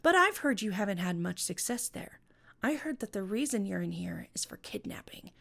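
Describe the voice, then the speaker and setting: A woman's voice: mid-range voice, sing-song, normal speed, gravelly, a bit bright, voice pushed up, full character voice. A woman voicing a tough young female prison boss, curt, cool and menacing, in a comic story reading. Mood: cool, appraising.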